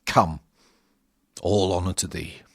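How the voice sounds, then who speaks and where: A man's voice: deep voice, steady tone, slow pace, a bit bright, breathy edge, dry recording, clean studio sound, heavily acted. A man playing a noble, gracious Trojan warrior prince with formal delivery in a Shakespearean audio drama.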